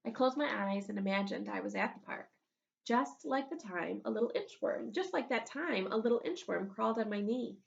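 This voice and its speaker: A woman's voice: on the high side, animated, normal speed, vocal fry, muffled recording. A woman reading a children's storybook aloud as a schoolteacher, expressively, with asides to her young pupils. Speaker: elementary school teacher.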